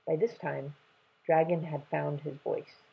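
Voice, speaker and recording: A woman's voice: sits low, steady tone, a little slow, vocal fry, breathy edge. A young adult woman reads a story aloud expressively, recorded at home on a laptop mic. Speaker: storybook reader. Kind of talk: expressive read-aloud narration. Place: small room at home, indoors. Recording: dull, lo-fi webcam sound.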